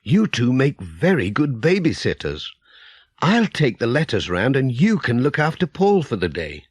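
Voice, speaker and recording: A man voicing a friendly, brisk middle-aged village woman in a children's storybook, chatty and reassuring. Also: medium pitch, wildly swooping pitch, breathy edge, no room sound, studio quality. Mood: cheerful, grateful.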